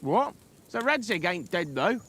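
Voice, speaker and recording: A needling medieval guardsman in a game cutscene. His voice is high and animated, with faint ambience behind it. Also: measured pace, slight background noise, exaggerated voice. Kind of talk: video game cutscene acting.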